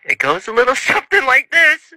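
A man voicing a sly, mischievous puppet neighbor in a cartoon dub, with deliberate, polite phrasing. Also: very high-pitched, wildly swooping pitch, bright, forceful tone, full character voice. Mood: playfully polite. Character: sly, slow-talking male puppet neighbor, mischievous prankster.

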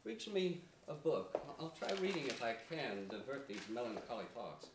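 A man's voice: mid-range voice, big pitch swings, normal speed, background noise, close to an everyday voice. A young actor playing an anguished, grieving captive king, defiant and prophetic, declaiming in a theatrical Shakespearean stage reading. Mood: troubled. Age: young adult. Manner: declamatory, lamenting, formal. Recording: echoey, distant theatre stage recording.

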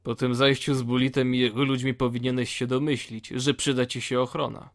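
A man's voice: medium pitch, level tone, fairly quick, close to an everyday voice. A man playing blunt, dryly sardonic convicts of a penal colony in a fantasy audio drama. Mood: blunt, matter-of-fact.

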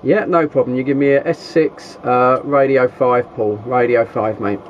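A man's voice: medium pitch, fairly even intonation, moderate pace. A man with a southern English accent chatting casually in a chummy, rambling way, as a hobbyist vlogger. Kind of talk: casual vlog chat. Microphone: handheld camera. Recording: dull, noisy handheld camera audio.

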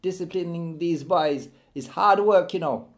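A man's voice: fairly high pitch, measured pace, voice pushed up. A man voicing a stern, weary male priest and school disciplinarian in an audiobook, dry and matter-of-fact.

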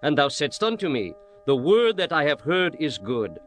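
A man's voice: fairly high pitch, sing-song, moderate pace, slight background noise. An actor playing a stern, commanding young king in a dramatized scripture reading, with solemn, declamatory delivery and archaic formal phrasing. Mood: stern, accusing.

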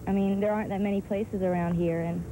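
A woman's voice: mid-range voice, steady tone, quick pace, background noise. A young woman giving casual interview answers outdoors. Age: young adult. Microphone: handheld interview mic.

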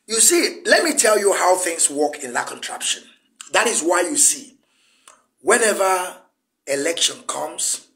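A man's voice: sits high, a bit bright. A middle-aged man with a Nigerian Igbo accent, a native Igbo speaker, delivering an impassioned political monologue in an emphatic, repetitive, scolding manner. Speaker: broadcast host, political activist. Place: indoor room, seated at a table.

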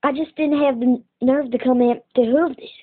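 A proud young cowgirl pony from a cartoon acted by a woman, high-voiced and plain-spoken. She talks in a folksy, earnest way. The recording is thin and tinny, like playback filmed off a screen. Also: fairly even intonation, normal speed. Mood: ashamed. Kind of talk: cartoon fandub acting.

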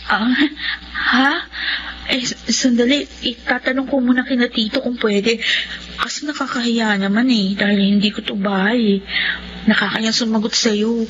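A woman's voice: fairly high pitch, steady tone, normal speed, fairly bright tone, lightly acted. An actress playing a sweet, shy young provincial woman in a Filipino radio drama, polite and hesitant. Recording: muffled, noisy radio drama recording.

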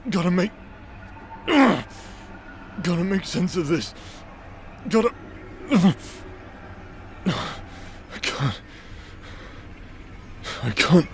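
Slow, halting, tormented warrior acted by a man. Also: sits high, big pitch swings, slight background noise, exaggerated voice. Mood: tormented, overwhelmed. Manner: halting, stammering, repeating broken phrases. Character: tormented warrior possessed by voices in his head. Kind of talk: dramatic anime-style romance audio roleplay acting.

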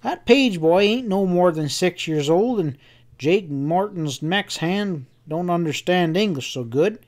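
A man voicing a stubborn, excitable cowboy in a Western audiobook, with a harsh rasp and a folksy drawl. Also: sits high, moderate pace, voice pushed up. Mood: insistent. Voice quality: harsh, rasping. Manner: rustic Western drawl, folksy bad grammar. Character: gruff cowboy friend Sam, stubborn, excitable, fiercely loyal.

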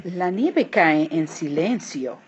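A woman reading a children's picture book aloud, switching between hushed and emphatic delivery. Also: deep voice, expressive, slow. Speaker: read-aloud narrator. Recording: dull lo-fi recording, clear background noise.

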